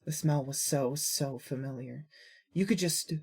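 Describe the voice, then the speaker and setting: A woman with a husky voice reading scripted fiction as a narrator. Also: a bass voice, measured pace, darkish tone.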